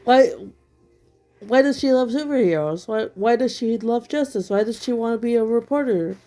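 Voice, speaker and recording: A woman's voice: on the high side, expressive, normal speed, mellow. A woman who is a little sick, her throat still bothering her, giving blunt, critical opinion commentary at home. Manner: blunt and critical, with many fillers. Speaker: online commentator.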